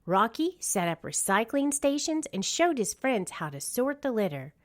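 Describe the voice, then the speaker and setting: A woman narrating a children's storybook in an expressive, deliberate read-along style. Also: a little high.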